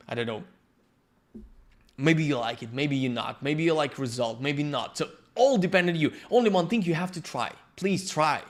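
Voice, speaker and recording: A man with a Ukrainian accent giving a live-streamed software lesson as the instructor, halting and restarting as he searches for words. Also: mid-range voice. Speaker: workshop instructor.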